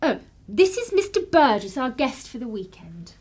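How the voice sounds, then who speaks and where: A woman plays a clever young upper-class English lady in a radio drama. Her voice is high and very lively, with an arch, teasing, commanding poise. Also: moderate pace, slightly rough. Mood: brisk, composed. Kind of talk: radio play acting.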